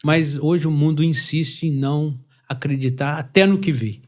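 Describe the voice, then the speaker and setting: High-voiced chatty Brazilian man. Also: normal speed. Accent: Brazilian Portuguese. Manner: chatty, animated, laughs easily. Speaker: podcast host. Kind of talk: casual chat.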